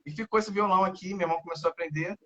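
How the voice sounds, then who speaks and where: A man's voice: sits high, racing, bright, forceful tone. A man in his thirties from Rio de Janeiro with a Carioca accent, a guest guitarist chatting in a casual interview and rambling with many fillers and restarts. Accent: Carioca Brazilian Portuguese. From Rio de Janeiro, Brazil. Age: 30s. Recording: dull lo-fi audio.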